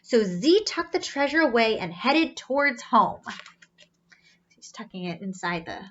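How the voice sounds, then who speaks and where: A woman reading a storybook aloud to children, pausing in a teacherly way to explain pictures and ask questions. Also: fairly high pitch, big pitch swings, slight background noise. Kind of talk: animated read-aloud storytelling with asides. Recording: slightly dull, lo-fi sound.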